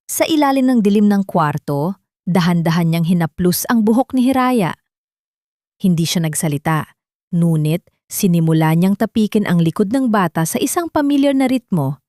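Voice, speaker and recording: A woman's voice: lowish voice, expressive, normal speed, dark tone, no echo, clean studio sound. A woman narrating a scripted audio drama in a dramatic storytelling style.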